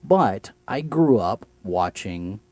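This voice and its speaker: Young guy from texas, no accent, warm mellow voice, rambly easygoing chat. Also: lowish voice, big pitch swings, takes it slowly, dry recording. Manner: rambling, many restarts and fillers. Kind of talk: casual conversation.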